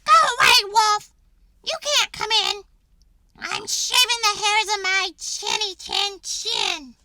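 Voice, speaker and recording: A woman voicing a rude, angry male little pig who yells defiantly in a children's storybook read-aloud. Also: slow, no room sound, exaggerated voice. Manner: shrill, loud, defiant yelling.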